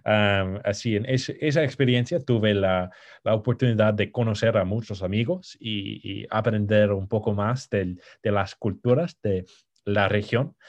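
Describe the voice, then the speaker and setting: A man in his twenties with an American accent, co-hosting a podcast in Spanish as a second language, pausing often with fillers and restarts. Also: fairly low pitch. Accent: American English. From United States. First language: English. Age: 20s. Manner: hesitant, many fillers and restarts. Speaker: podcast co-host. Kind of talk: casual podcast introduction.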